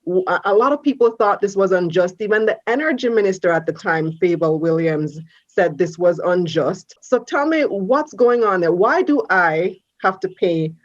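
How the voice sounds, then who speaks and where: A woman hosts a TV business interview and asks direct, pressing questions. Her voice is mid-pitched and comes through slightly dull and lo-fi. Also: moderate pace, few pauses. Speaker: host.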